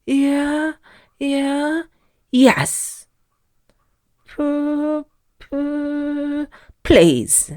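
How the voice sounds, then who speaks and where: A woman's voice: high voice, extremely slow, pauses often, warm tone, no room sound, studio quality, voice pushed up. A woman voicing a tiny, jittery little man who panics and shrieks. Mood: stammering. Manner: shrieking, stammering, melodramatic.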